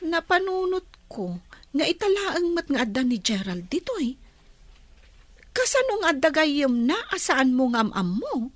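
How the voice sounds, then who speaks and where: A woman's voice: high voice, big pitch swings, unhurried, no echo. An actress playing a thoughtful, curious young female friend in a radio drama, hesitant and musing. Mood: puzzled, musing.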